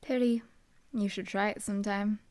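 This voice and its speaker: A woman's voice: mid-range voice, fairly even intonation, normal speed, a little mellow, natural voice. A young woman voicing a dry, teasing female hellhound demon bodyguard in an audio roleplay. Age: young adult. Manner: dry, teasing, laid-back.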